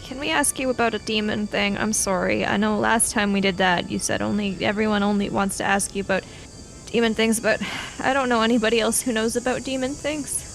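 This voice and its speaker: A woman's voice: medium pitch, steady tone, a little fast, creaky, faint music behind, low-quality recording. A woman chatting casually and roleplaying as a player in a tabletop game.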